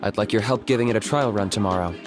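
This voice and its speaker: A stoic young warrior played by a man in a video game, speaking in a lowish, level voice with no hint of a smile. He stays blunt and practical, with faint game music underneath. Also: natural voice. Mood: deadpan. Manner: deadpan, calm and measured.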